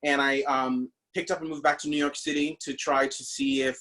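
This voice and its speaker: A man's voice: mid-range voice, flat delivery, moderate pace, bright, forceful tone, poor audio. A man from the Bahamas, a stage actor, telling his story in a casual interview over a video call, chatty and full of fillers. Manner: chatty, with many fillers and restarts.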